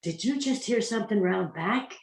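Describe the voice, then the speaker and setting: A woman's voice: mid-range voice, expressive, moderate pace, lightly acted. A woman playing a bossy, sardonic big-sister burglar in a comic radio play, recorded in an echoey space. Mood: sardonic, wary. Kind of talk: comic old-time radio play acting. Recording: echoey, roomy pickup.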